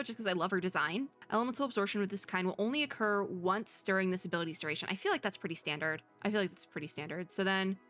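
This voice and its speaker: Bright-voiced, excitable woman streamer. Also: medium pitch. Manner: excitable, rambling, expressive. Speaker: streamer, VTuber. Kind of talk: casual chatty game reaction commentary.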